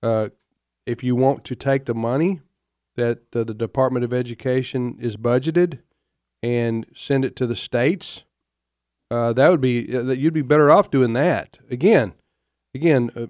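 A man in his fifties hosting a radio talk show, speaking in a folksy, opinionated way with many halting fillers. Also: mid-range voice, a little mellow, dry recording, studio quality. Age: 50s.

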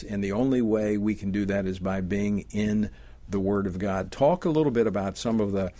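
A man hosting a faith talk show, asking questions in an encouraging way and often repeating his first words. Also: lowish voice. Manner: warm, encouraging interviewer with stammered restarts. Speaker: host. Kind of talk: conversational interview.

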